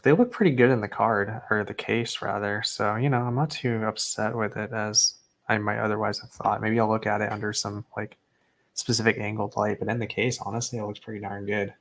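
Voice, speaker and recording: Low-key man unboxing graded cards. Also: brisk. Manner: low-key and understated, self-described as not excitable. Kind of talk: casual unboxing commentary.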